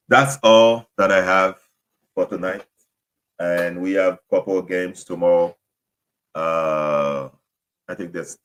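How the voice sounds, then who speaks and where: A man with a very low voice hosts a casual solo podcast. English is his second language after French and Haitian Creole. He rambles, restarts and apologizes for his mistakes. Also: a bit bright. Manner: rambling, self-correcting, apologetic. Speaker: podcast host. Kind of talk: casual solo sports talk.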